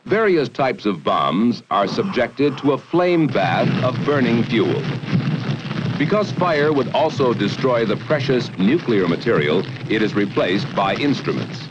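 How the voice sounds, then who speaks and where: An American man narrating a 1960 educational film in a formal, measured, authoritative way, on a vintage film soundtrack. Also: medium pitch, big pitch swings, background noise. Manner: formal, measured, authoritative delivery with emphatic phrasing.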